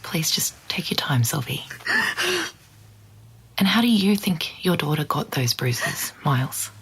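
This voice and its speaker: An actress playing a composed investigative podcast host, probing but sympathetic, in an audio drama. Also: female voice, deep voice, animated, a little slow, gravelly, a bit bright, breathy edge, full character voice, low-quality recording. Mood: gentle, probing. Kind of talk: scripted podcast narration and interviewing.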